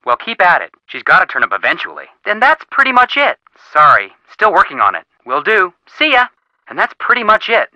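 A man's voice: high voice, huge pitch swings, a little fast, bright, forceful tone, no echo, muffled recording, heavily acted. An actor playing an upbeat young male amateur detective in a video game, breezy, casual and eager to help. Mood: friendly, casual.

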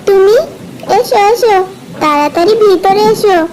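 A woman playing a young village wife and affectionate daughter in a cartoon folk tale, girlish and expressive. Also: extremely high pitch, normal speed, a loud crowd. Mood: delighted.

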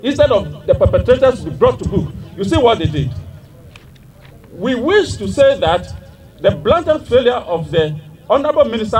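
An older Ghanaian man reads a formal statement at an outdoor press conference. His voice is high for a man and swings widely in pitch. It sounds somewhat distant from the microphone. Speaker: pressure group spokesman. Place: outdoors under canopy at a crowded gathering. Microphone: handheld microphone.

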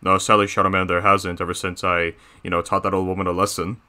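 Man voicing a bright, low, bossy cartoon bear. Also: level tone, quick pace. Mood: casual, conspiratorial. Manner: bossy, self-satisfied, rambling. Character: boastful, bossy, threatening cartoon bear boss. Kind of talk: improvised character reaction banter.

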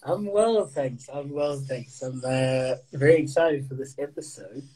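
A man's voice: mid-range voice, a little slow, crowd noise, poor audio. Upbeat young man hosting a live call. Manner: upbeat, enthusiastic, many fillers. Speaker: host. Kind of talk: casual livestream interview. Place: small indoor room. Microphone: phone front camera, video call.

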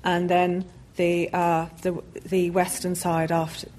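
A woman's voice: lowish voice, completely flat delivery, slow, a little mellow. A woman speaking as an expert on a panel, explaining off the cuff and often repeating words as she goes. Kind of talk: extemporaneous explanatory panel talk. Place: public panel event on a stage.

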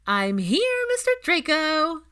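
A woman voicing an eager, excitable little boy in a story reading, full of exclamations. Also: high-pitched, slow pace, pitched above the performer's own voice, heavily acted.

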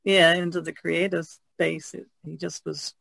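A woman's voice: on the low side, flat delivery, normal speed, vocal fry, fairly bright tone, no echo, studio quality. A woman speaking as a panelist in a casual podcast chat.

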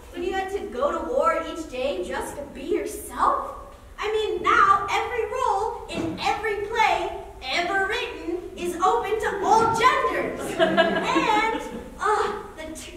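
Woman acting exuberant high-pitched podcast host, far off. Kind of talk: live staged reading. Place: large theater stage, indoors. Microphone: far camera in the audience. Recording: distant, very echoey theater, noisy.

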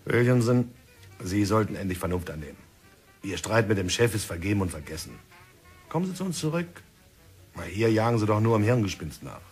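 A man's voice: on the low side, big pitch swings, brisk, darkish tone, husky, faint music behind. An actor playing a persuasive male envoy from a diamond company in an old radio play. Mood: coaxing. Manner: persuasive, coaxing. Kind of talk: 1960s radio drama acting.

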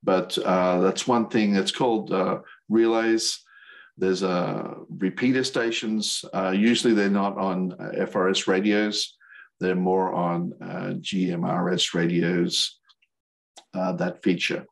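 Older American male presenter, deep and creaky, hesitant, explaining slides informally over a video call. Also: flat delivery. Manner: hesitant, halting delivery. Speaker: volunteer instructor, radio operator.

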